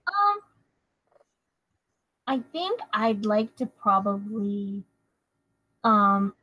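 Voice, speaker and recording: A woman's voice: medium pitch, very animated, extremely slow, pushed and bright, no echo, muffled recording. A woman playing a tabletop role-playing game, thinking aloud casually and somewhat tentatively.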